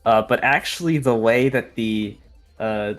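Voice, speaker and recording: A young adult video editor chatting casually on a behind-the-scenes livestream, in a halting, rambling, informal way. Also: medium pitch. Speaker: video editor on a fan animation project. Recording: music behind the voice.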